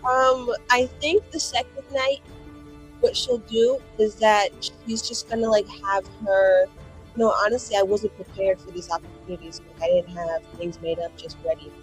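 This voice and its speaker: A woman with a medium-pitched voice banters in loose slang. She comes across cheeky and brash, playing in a tabletop game with faint music behind her. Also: steady tone, moderate pace, rough recording. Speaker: tabletop roleplaying player.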